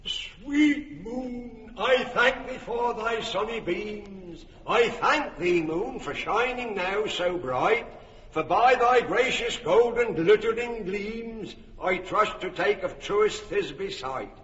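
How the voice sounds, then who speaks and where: A man's voice: sits high, expressive, takes it slowly, fairly bright tone, a little hiss or hum, exaggerated voice. An actor playing a workman who hams up the tragic lover Pyramus, declaiming bombastically in a classic radio drama. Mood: hammy tragic. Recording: echoey hall, dull broadcast recording.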